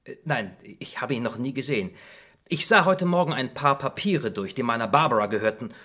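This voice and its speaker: An actor playing a nervous, apologetic man caught up in a German radio crime mystery, husky, hesitant and stammering. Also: medium pitch, expressive, brisk, bright, forceful tone. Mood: flustered, evasive.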